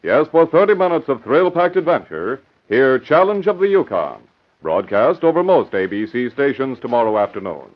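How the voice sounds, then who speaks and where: A man's voice: mid-range voice, sing-song, normal speed. A man announcing in dramatic, declamatory 1940s radio promo style. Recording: muffled old radio broadcast.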